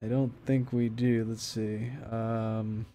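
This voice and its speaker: A man's voice: lowish voice, little pitch movement, slow, warm tone. A young man hosting a fitness livestream, chatting casually with viewers, rambling with many restarts and fillers, and turning emphatic when setting a challenge. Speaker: fitness streamer host.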